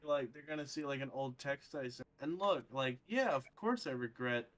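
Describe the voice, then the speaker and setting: A man's voice: medium pitch, normal speed, a bit bright, slight background noise. A young man of twenty in casual live-stream chat, rambling earnestly with many fillers. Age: 20s.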